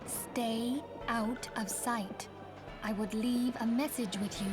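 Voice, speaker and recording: A woman voicing a gentle, dignified high priestess in a fantasy game, composed, earnest and formal. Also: fairly even intonation, takes it slowly. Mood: tense, resolute. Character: gentle, dignified high priestess, brave leader of a secret order. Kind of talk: scripted fantasy game dialogue. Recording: game music behind the voice. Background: orchestral game music.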